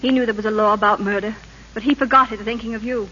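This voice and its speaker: A woman's voice: on the high side, fairly even intonation, quick pace, pushed and bright. A woman acting a governor's passionate, indignant wife in an old radio drama, earnest and insistent. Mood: pleading. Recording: muffled, noisy vintage radio recording.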